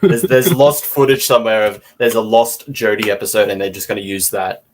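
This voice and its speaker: A man's voice: lowish voice, steady tone, a little fast, slight background noise. A man co-hosting a podcast, bantering casually in a joking, sarcastic way. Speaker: podcast co-host.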